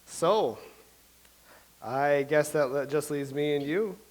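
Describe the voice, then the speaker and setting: A man's voice: on the high side, slow. A man reading the part of a wry, quizzical playwright. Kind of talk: staged reading.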